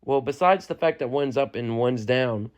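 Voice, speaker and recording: A young man, a music producer, giving a loose, chatty step-by-step software lesson and often checking in with the listener. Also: mid-range voice, moderate pace, clean tone. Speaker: music producer teaching a tutorial. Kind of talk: casual step-by-step software tutorial.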